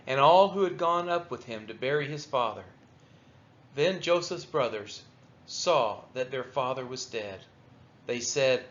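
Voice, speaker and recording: A man reading scripture aloud for a daily read-along, with measured, solemn and deliberate phrasing. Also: mid-range voice, fairly bright tone. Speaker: Bible reader for a church daily read-along. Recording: dull, lo-fi sound.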